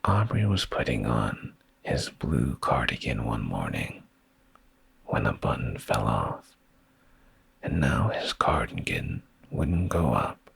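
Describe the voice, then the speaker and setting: Man, bottomless low pitch, thick gravelly creak, hushed breathy tone, intimate ASMR bedtime storyteller, soothing. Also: very animated, slow. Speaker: ASMR streamer reading bedtime stories.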